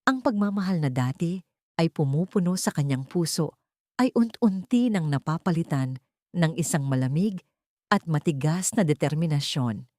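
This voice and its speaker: A woman's voice: low voice, animated, moderate pace, a little mellow, dry recording, studio quality. A woman with a Filipino accent narrating a scripted drama story in a solemn, theatrical storytelling manner.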